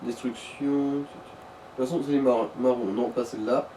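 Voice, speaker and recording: A man's voice: mid-range voice, fairly even intonation, moderate pace, warm tone, distant mic, a little hiss or hum, poor audio. A man chatting casually as a let's play co-commentator, laid-back and slangy with dry jokes. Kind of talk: casual gameplay chat.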